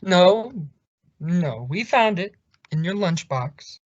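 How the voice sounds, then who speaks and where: A blustery older boss in a vintage radio sitcom, dry and sardonic. The recording is dull and close, without room sound. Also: male voice, high voice, expressive, slow, no room sound, exaggerated voice. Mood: sarcastic. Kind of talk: 1950s radio sitcom acting.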